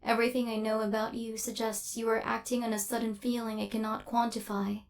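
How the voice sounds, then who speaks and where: Casting: woman to play female assassin android, higher register, imperious and icy, formal machine-like diction, secretly flustered, ASMR audio drama. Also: little pitch movement, fairly quick, natural voice. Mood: cold, menacing. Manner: formal, clinical, stilted robotic phrasing. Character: female killer robot hunter, cold, haughty, secretly flustered. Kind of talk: ASMR roleplay.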